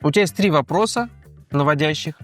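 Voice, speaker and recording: A man's voice: fairly high pitch, a little fast, fairly bright tone, soft background music. A man hosting a quiz-style show in a casual, conversational way. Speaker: host of a quiz-style show about cartoons.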